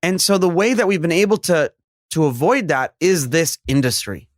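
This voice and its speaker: Podcast host, young New man, emphatic and repetitive, raised pitch, dry. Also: normal speed, dry recording. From New York. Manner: earnest, emphatic, rambling with repeated phrases. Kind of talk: casual interview chat.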